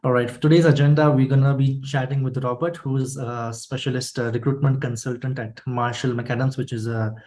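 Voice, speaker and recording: A man moderating an online workshop, welcoming attendees and walking through the session in an informal, halting way. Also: mid-range voice, steady tone, darkish tone. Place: online video meeting.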